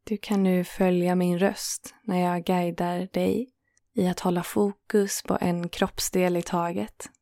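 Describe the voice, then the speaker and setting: A woman guiding a yoga nidra relaxation in a calm, soothing manner, leaving gentle pauses between phrases. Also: lowish voice, gravelly, dry recording, clean studio sound. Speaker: meditation guide and host.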